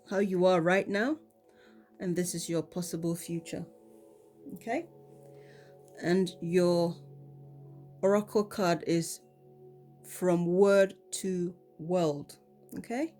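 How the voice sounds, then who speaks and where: A woman with a cold giving a casual tarot card reading, talking in a relaxed way with many ums and repeated "okay?". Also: on the low side, slow pace, long pauses, soft background music. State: has a cold. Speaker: tarot reader.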